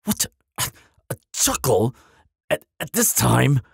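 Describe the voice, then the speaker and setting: A man voicing a proud, grumpy but soft-hearted bogeyman in an audio roleplay, with a gravelly rasp and a pompous, easily flustered delivery. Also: medium pitch, sing-song, slow, no room sound, clean studio sound, full character voice. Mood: exasperated. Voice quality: raspy, gravelly. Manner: pompous, flustered stammering, dramatic.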